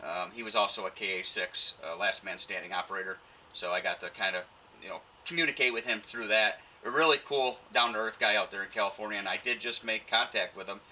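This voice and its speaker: A very sharp, forceful male voice, pitched on the low side, with faint noise behind it. He chats casually and in a friendly way as a ham radio operator, dropping in jargon. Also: fairly quick, low-quality recording.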